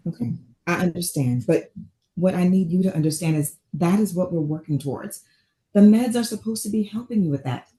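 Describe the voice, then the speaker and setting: Lowish-voiced actress as a composed woman therapist, weary yet caring, measured and firm, naturalistic drama read. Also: mellow, breathy edge. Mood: calm, firm. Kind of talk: naturalistic table read acting.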